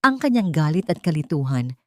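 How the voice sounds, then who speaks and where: A woman's voice: deep voice, very animated, brisk, dark tone, no echo, clean studio sound. A woman with a Filipino accent narrating a scripted dramatic story.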